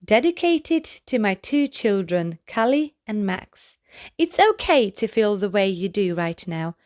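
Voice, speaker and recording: A woman reading a children's picture book aloud as a storyteller, in a gentle, deliberate manner addressed to young listeners. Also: medium pitch, sing-song, dry recording, studio quality. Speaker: children's storybook reader, channel host. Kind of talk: read-aloud bedtime story narration for children.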